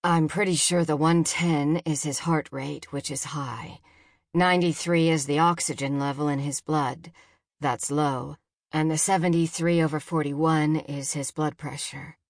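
A woman's voice: low voice, steady tone, a little slow, no room sound, clean studio sound. A woman voicing a concerned husband and father in an audiobook, speaking plainly. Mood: grave, explaining. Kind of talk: audiobook character dialogue.

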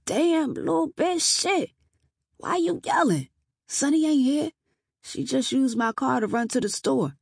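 A woman's voice: high voice, animated, unhurried, vocal fry, dry recording, studio quality, voice pushed up, full character voice. Woman putting on a drunk, leering sleazy man. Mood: startled, defensive. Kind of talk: audiobook character dialogue.

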